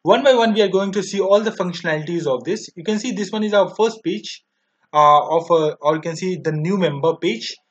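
A man in his twenties with an Indian accent, narrating a step-by-step software tutorial in a halting, repetitive way. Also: sits high. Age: 20s. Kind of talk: step-by-step screen demo narration. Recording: dull lo-fi microphone sound.

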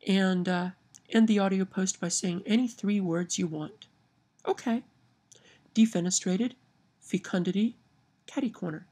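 A woman's voice: mid-range voice, a little slow, long pauses, dark tone, slightly rough. A woman from Kansas with a Midwestern accent and a nasal twang, reading a word list and a novel passage aloud to a webcam at home. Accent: Midwestern American. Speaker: vlogger. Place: home room by window blinds, indoors. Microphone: webcam at arm's length.

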